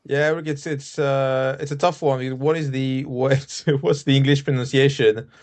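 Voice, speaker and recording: A man whose first language is French, a digital executive on a podcast, speaking easygoing and halting with restarts. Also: medium pitch, little pitch movement, a little mellow. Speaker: chief digital officer, podcast guest. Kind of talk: casual interview chat.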